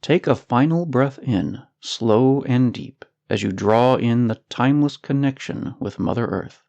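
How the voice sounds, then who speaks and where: A man narrating a scripted guided meditation in a soothing, measured, unhurried manner. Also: mid-range voice, creaky, a little mellow, highs cut off.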